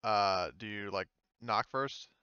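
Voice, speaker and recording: A man's voice: lowish voice, slow, bright, forceful tone, clean tone, dry recording, lo-fi sound. A man running a tabletop game, narrating casually in a joking, informal way. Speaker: game master.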